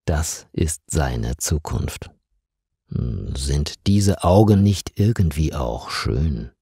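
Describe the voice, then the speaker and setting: A man narrating a scripted story for language learners in deliberate, simple short phrases. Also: extremely low pitch, dark tone, slightly rough, no room sound, studio quality.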